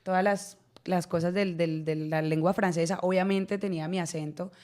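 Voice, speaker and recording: A woman's voice: fairly low pitch, steady tone, normal speed, a little mellow. A Colombian woman in her thirties with a Colombian accent, an actress telling her story in a radio interview, laughing often and repeating words as she goes. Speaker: actress guest. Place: radio studio.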